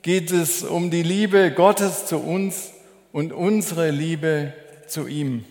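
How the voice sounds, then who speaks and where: A man preaching a sermon as a preacher, in a measured, deliberate, explanatory way. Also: fairly high pitch, a little mellow. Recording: echoey hall with long reverb.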